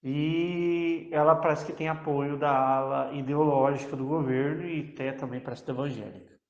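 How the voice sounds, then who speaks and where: Male co-host, relaxed summary voice, slightly high, roomy echo. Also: moderate pace, muffled recording. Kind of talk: casual news summary. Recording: echoey room.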